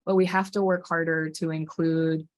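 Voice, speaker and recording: Woman from hawaii, low voice, earnest panel talk, pretty dry sound. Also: level tone, normal speed, dry recording. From Hawaii, USA. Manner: earnest, passionate, frequent restarts.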